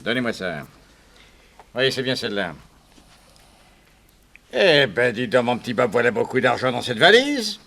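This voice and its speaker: A man's voice: mid-range voice, very animated, a little slow, vocal fry, long pauses, sharp tone, heavily acted. A man playing a blustering, impatient police inspector in a radio drama, brusque, sarcastic and officious. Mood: gruff, suspicious. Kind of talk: 1960s French radio crime drama acting. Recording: noisy vintage radio recording, clicks.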